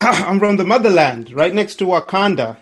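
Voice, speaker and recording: Guest, male, cheerful storyteller, stumbles and restarts, lightly higher pitch. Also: animated, moderate pace, fairly bright tone. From Zimbabwe. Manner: upbeat, chuckling, restarts words, clears throat. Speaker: podcast guest, entrepreneur. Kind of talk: casual storytelling interview.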